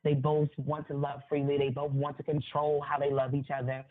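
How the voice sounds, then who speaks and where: A woman's voice: low-pitched, monotone, brisk. A woman novelist talks candidly as a guest in an interview, in a casual conversational style. Her voice comes through thin and narrow, like a phone line.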